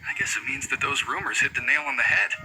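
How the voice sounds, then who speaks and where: A man's voice: medium pitch, quick pace, natural voice, rough recording. A man voicing a wary townsman who gossips in a conspiratorial way. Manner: conspiratorial, hushing.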